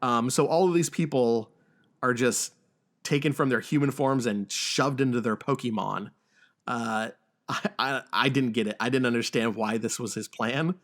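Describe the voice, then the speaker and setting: A man hosting a podcast, talking casually through a film's plot with a halting, stammering delivery. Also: mid-range voice, fairly bright tone. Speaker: podcast host. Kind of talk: casual film discussion and plot recap.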